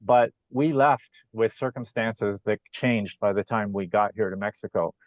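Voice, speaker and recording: Man on a muffled zoom call, deepish voice, slow and halting, dry sound. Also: no echo. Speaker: business partner on a call. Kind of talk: video call testimonial. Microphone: video call. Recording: dull lo-fi video call audio.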